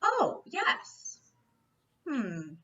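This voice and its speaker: Woman playing a high, swooping, needling police captain. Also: huge pitch swings, very slow, pushed and bright, lo-fi sound, exaggerated voice. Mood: sly, mock-casual. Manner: mock-casual, probing, insinuating questioning. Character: brash, folksy, slyly needling police captain. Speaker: actor in an amateur radio play. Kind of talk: old-time radio detective acting.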